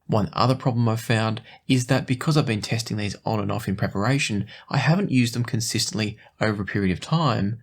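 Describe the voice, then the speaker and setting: A man presenting a product review in a conversational, explanatory and even-handed way. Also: lowish voice. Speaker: host of an audio gear review show.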